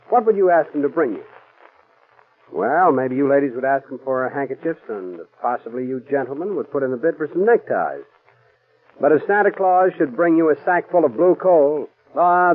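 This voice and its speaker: A man announcing in 1940s radio advertising style, polished and salesmanlike. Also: a little high. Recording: tinny vintage radio recording.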